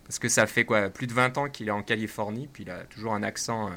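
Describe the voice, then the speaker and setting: A man from France with a French accent, co-hosting a casual tech podcast and chatting freely with lots of pauses and filler words. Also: lowish voice, fairly even intonation, sharp tone. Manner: chatty, many fillers and restarts. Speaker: podcast co-host.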